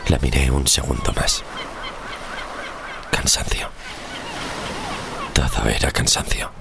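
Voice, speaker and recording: A man's voice: rumbling low, huge pitch swings, slow pace, creaky, background noise, heavily acted. A man playing a weary, stubborn sixteenth-century sailor in an audio horror drama, curt and sardonic in archaic formal speech. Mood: weary, brooding. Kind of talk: audio horror drama with first-person narration.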